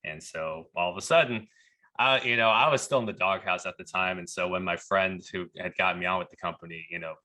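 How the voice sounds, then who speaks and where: Deep-voiced man telling his story. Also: brisk, sharp tone, rough recording. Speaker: podcast guest; pharmacist turned leadership coach. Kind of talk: conversational storytelling.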